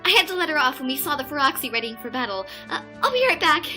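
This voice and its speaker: A woman's voice: high-pitched, very animated, fast, pushed and bright, exaggerated voice. A woman acting a sweet, flustered young pegasus knight in an audio drama, apologetic and fluttery. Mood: sheepish. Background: music.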